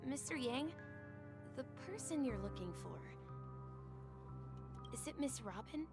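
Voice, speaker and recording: A woman's voice: sits high, lively intonation, measured pace. A woman voicing a polite, innocent young bellboy in a video game, eager and earnest. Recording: music behind the voice. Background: game music.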